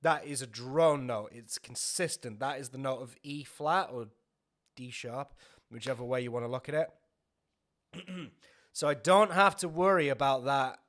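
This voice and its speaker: A man with a cold explaining his guitar practice in a casual, teaching way, checking in with short tag questions and clearing his throat often. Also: mid-range voice, moderate pace, a bit bright. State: full of a cold, hoarse. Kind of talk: casual teaching while jamming. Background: faint backing music.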